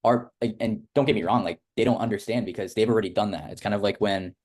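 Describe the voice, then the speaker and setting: A man's voice: fairly low pitch, fast, a little mellow. A young man hosting a casual interview, talking in a rambling, informal way full of filler words. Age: young adult. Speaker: host interviewing a student of a business academy.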